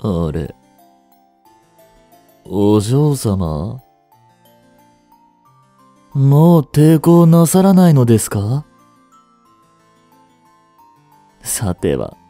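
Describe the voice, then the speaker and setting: 音声ドラマで、丁寧な口調で感情の激しい、執着に狂っていく若い執事を演じる男性。. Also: male voice, mid-range voice, mellow, dry recording. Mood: eerie, coaxing. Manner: formal, polite servant speech, intensely emotional. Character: devoted young male butler turning obsessive and unhinged. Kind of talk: Japanese romantic situation voice drama.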